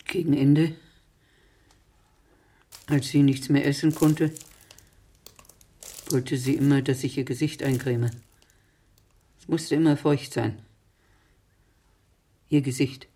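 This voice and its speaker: Grieving smoker aunt: dark, husky low voice, very slow and hushed, intimate radio play delivery. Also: female voice, fairly even intonation, exaggerated voice. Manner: halting, hushed, dwelling on words. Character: grieving, smoking aunt remembering a deathbed. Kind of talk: intimate radio drama monologue.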